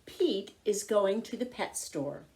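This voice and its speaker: A woman reading a picture book aloud to young children, with expressive, deliberate phrasing. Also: medium pitch. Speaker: volunteer children's story reader.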